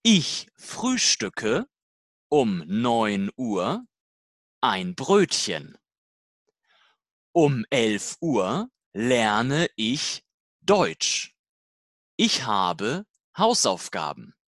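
Language-course dialogue reader: very slow, deliberate delivery, lively pitch movement. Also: no echo, studio quality. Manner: slow, deliberate, simple learner-level sentences.

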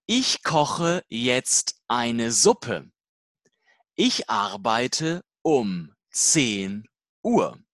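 Very slow, deliberate language-lesson voice. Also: medium pitch, a bit bright, no echo, clean studio sound. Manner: slow, deliberate, simple learner-level sentences. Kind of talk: scripted slow language-learning dialogue.

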